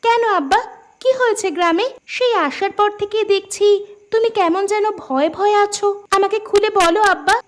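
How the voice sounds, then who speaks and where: Woman voicing a very high, sweet daughter. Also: moderate pace. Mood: concerned. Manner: sweet, eager, concerned. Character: affectionate, excitable grown daughter visiting her village father. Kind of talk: cartoon voice acting.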